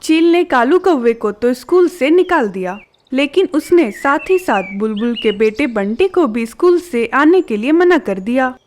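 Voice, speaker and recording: Female storyteller, high voice, scripted children's narration, faint ambience with birdsong. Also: lively intonation, unhurried, darkish tone, a little hiss or hum.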